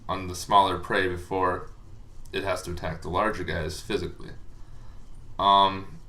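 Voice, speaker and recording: A man's voice: deep voice, level tone, normal speed, bright, forceful tone. A man giving a casual, unscripted explainer as a dungeon master, talking in a loose, rambling and hesitant way. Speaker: dungeon master explaining tabletop monster lore. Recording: faint background noise.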